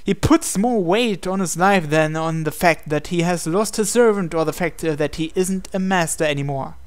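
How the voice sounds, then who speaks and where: Clear, higher-pitched male narrator. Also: moderate pace. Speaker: gaming channel host. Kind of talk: reading visual novel narration aloud.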